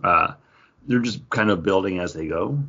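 A man with a husky voice explaining things informally as a podcast co-host in casual chat. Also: low voice, moderate pace, pushed and bright, lo-fi sound. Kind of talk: casual chat about games and comics.

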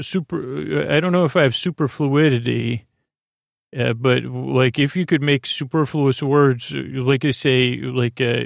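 Creaky-voiced, meandering man hosting a sleep podcast. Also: medium pitch, no room sound, clean studio sound. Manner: meandering, halting, stumbling over words and drifting into tangents; lulling, gentle. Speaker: podcast host. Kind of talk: rambling, soothing sleep-podcast monologue.

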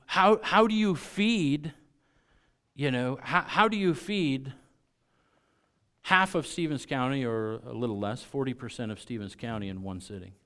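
A man with an American accent preaching a sermon to a congregation, repeating key phrases for emphasis and moving between quiet and loud delivery. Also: mid-range voice.

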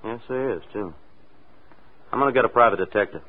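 A man's voice: medium pitch, lively intonation, brisk, natural voice. An American man acting a suspicious, insistent, anxious fellow in a 1940s radio drama, with urgent slangy delivery. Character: anxious, suspicious male oil company stooge, blunt and insistent. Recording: muffled, narrow-band old radio recording.

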